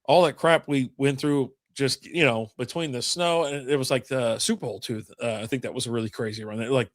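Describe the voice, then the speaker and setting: An easygoing man co-hosting a podcast, chuckling through a loose chat. His pitch is middling and he swears freely. The recording is dry, with no room sound. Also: a little fast, no echo. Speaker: podcast co-host. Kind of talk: casual chat.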